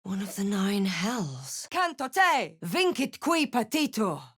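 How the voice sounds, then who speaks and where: A woman voicing a snarky, brooding adventurer hero in a fantasy video game, deliberate and theatrical with dry wit. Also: mid-range voice, sing-song, fairly bright tone, husky, dry recording, full character voice. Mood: hushed, wary, then incantation, intense. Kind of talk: fantasy video game voice lines, narration and barks.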